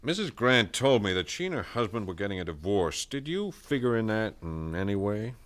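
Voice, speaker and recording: An actor playing a methodical police lieutenant in a 1970s radio drama, speaking in a crisp, businesslike way. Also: male voice, fairly low pitch, big pitch swings, moderate pace, a bit bright, no echo. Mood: probing. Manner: crisp, methodical report reading.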